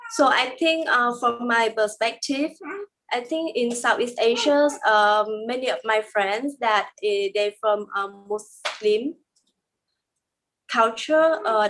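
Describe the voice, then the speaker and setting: Woman interpreter, halting and higher-pitched. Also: low-quality recording. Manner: halting, many pauses and fillers, plain careful phrasing. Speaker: sign language interpreter voicing a deaf guest. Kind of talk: live interpreted interview answers.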